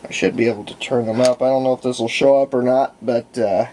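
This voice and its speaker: A man narrating casual hands-on repair work, thinking aloud in short, halting phrases. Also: medium pitch, steady tone, darkish tone, a little hiss or hum. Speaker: hobbyist demonstrating antique radio repair. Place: room at home, indoors.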